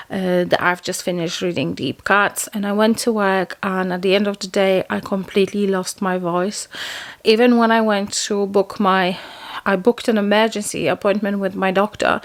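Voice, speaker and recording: A middle-aged woman, chatting to camera as a book vlogger in a rambling way with many restarts. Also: mid-range voice. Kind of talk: casual chat to camera.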